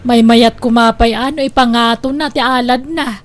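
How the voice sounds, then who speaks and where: A woman's voice: a little high, steady tone, normal speed, lightly acted. A woman with an Ilocano accent playing an outspoken, gossipy village neighbour in a comedy drama. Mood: gossipy, disapproving. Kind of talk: Ilocano comedy radio-style drama acting.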